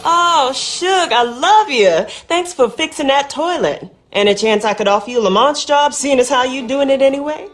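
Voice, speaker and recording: An actress playing a sassy, warm-hearted, folksy woman with a Southern American accent in a video game. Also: high-pitched, expressive, normal speed, hardly any pauses. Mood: grateful, sweet. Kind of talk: video game dialogue acting.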